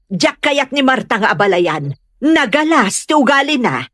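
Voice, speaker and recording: Babai a kas natangken ti ulona nga ina. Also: female voice, sits high, very animated, moderate pace, a bit bright, dry recording, clean studio sound, heavily acted. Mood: scolding, stubborn. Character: stubborn, grudge-holding mother of a grown son. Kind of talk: Ilocano comedy radio-style drama acting.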